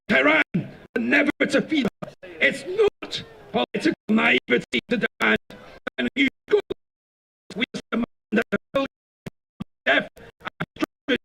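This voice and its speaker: Impassioned man with gravelly high voice. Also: bright, forceful tone, slight background noise, rough recording. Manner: impassioned, declamatory. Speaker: rally speaker. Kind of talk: political rally speech. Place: outdoor rally stage.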